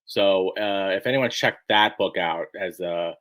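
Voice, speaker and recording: A man being interviewed, chatting casually in a halting, rambling way and often restarting his sentences. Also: low voice, a bit bright. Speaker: book editor being interviewed.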